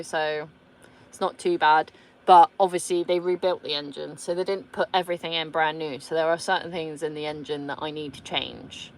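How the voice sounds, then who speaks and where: British woman, 30s, vlogger, lowish voice, blunt and sweary, quick laughs. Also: low-quality recording. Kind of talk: casual chat reading viewer comments.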